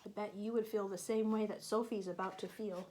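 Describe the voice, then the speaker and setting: A woman teacher reading a picture book to young children, explaining patiently and pausing to ask them questions. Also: mid-range voice, steady tone, a little mellow, slight background noise, poor audio. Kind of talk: children's read-aloud with questions to listeners.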